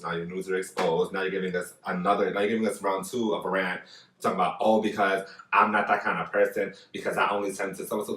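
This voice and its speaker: A man hosting a podcast, chatting casually in an animated, gossipy way and cursing freely. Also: low voice, roomy sound, distant mic, poor audio. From Dominican family, learned Spanish first. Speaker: podcast host. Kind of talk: casual chat.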